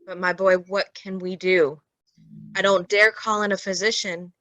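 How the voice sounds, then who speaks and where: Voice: medium pitch, level tone, a little slow, pushed and bright, dry recording. A performer playing a worried older father, placating and hesitant, in old-time radio drama style. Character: worried older father soothing a young man.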